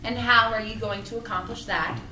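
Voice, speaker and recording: A woman's voice: a little high, brisk, bright, forceful tone, far from the mic. A woman playing a polite, uneasy young shop worker in an audio drama table read, courteous and hesitant. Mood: dry, teasing. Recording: echoey room, off-mic, music behind.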